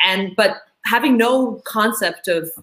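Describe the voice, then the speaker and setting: Female guest from Tanzania, mid pitch, eager and chatty with many fillers. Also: a little slow, a bit bright, low-quality recording. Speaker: school board candidate. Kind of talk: casual interview answers.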